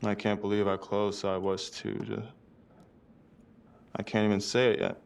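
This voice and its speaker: Deep, creaky, shaken forensic expert. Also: male voice, completely flat delivery. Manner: halting, careful, formal word choice. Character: shaken, precise male forensic expert, quietly awed and morbid. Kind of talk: dramatic video game acting.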